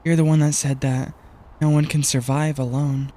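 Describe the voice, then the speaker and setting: A man's voice: on the high side, flat delivery, moderate pace, dark tone, close to an everyday voice. A man voicing a naive, hopeful young boy in a manga dub drama. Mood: hopeful, teasing. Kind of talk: anime-style manga dub acting.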